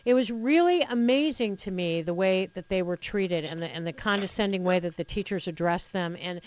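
A woman's voice: medium pitch, a little fast, a little mellow, no echo. An American woman from Colorado hosting a call-in radio show, talking conversationally and with opinion, emphatically repeating phrases to make a point. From Colorado, United States. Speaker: radio talk show host.

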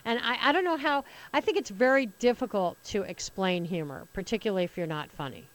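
A woman's voice: medium pitch, fairly quick, poor audio. An American woman from Colorado hosting a call-in radio show, talking conversationally and with opinion, emphatically repeating phrases to make a point. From Colorado, United States. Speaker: radio talk show host.

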